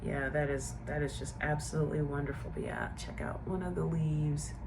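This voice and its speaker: A woman hosts a cozy tea vlog, nasal and congested from a head cold. She talks softly and lingers over each word with quiet delight. Faint hiss sits under the recording. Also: deep voice, moderate pace, gravelly. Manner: gentle, savoring, softly enthusiastic. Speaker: tea and book channel host. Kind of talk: relaxed tea tasting vlog with guided breathing.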